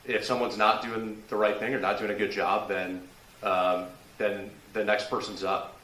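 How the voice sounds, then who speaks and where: Guy w/ lowish voice stumbling thru an answer, echoey room, far from the mic. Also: little pitch movement, sharp tone. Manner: halting, many restarts and fillers. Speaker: political candidate. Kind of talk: candidate forum answer.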